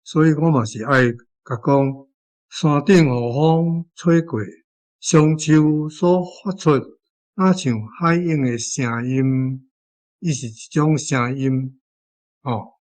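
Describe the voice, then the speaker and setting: A man's voice: on the high side, fairly even intonation, slow, a little mellow. A man with a Taiwanese accent speaking as a language teacher, explaining wording piece by piece. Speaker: language teacher giving model translations.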